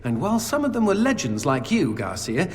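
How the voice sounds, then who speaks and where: A man's voice: a little high, wildly swooping pitch, fairly quick. A man playing a chatty, bawdy demon sidekick in a video game, with a theatrical storyteller's flourish. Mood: fond, teasing. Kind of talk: video game character acting. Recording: long hall reverb under game music.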